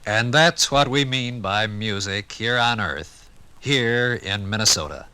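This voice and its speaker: An American man reading scripted 1950s radio documentary narration in a formal, measured, authoritative manner. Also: on the low side, pushed and bright, a little hiss or hum. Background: music.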